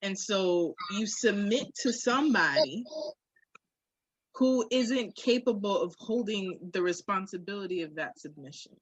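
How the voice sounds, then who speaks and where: A woman's voice: medium pitch, lively intonation, unhurried, slightly rough, no echo, highs cut off. A woman co-hosting a candid podcast conversation, speaking in a reflective, emphatic and informal way. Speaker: podcast co-host.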